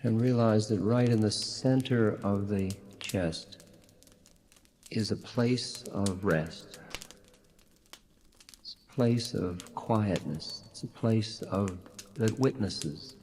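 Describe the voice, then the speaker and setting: A man leading a guided meditation in a gentle, unhurried, soothing manner with long pauses. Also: lowish voice. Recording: long reverb, audible background noise and clicks.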